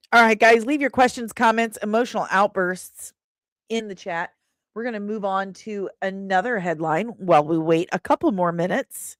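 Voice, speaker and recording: A woman's voice: mid-range voice, no echo, studio quality. A woman hosting an entertainment livestream, talking casually and playfully as she reads and answers chat comments. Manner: playful, chatty, irreverent, with pet names and slang. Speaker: host of an entertainment news livestream.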